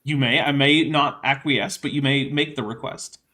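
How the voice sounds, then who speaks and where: A man's voice: mid-range voice, steady tone, normal speed, bright, forceful tone. A man hosting a live stream chat, reading viewer messages aloud with wry, slightly formal phrasing and hesitant fillers.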